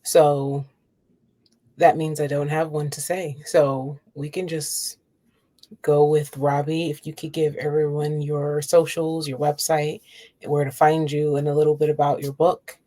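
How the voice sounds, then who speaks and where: A woman's voice: deep voice, level tone, a little slow, dark tone. A woman hosting a podcast in a casual, informal and unscripted chat style. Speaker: podcast host.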